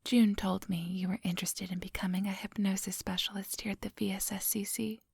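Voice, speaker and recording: A woman playing a centuries-old vampire boss in an ASMR roleplay, whispery and breathy, speaking close to the microphone in a silky, patronizing, quietly menacing manner. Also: medium pitch, level tone, no room sound. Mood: smooth, appraising. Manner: slow, unhurried, silky and patronizing.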